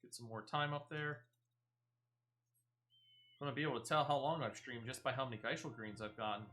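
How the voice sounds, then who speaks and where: A man's voice: medium pitch, a little fast, fairly bright tone. A man giving casual live gameplay commentary as a streamer, chatty and emphatic, often repeating phrases. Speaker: game streamer.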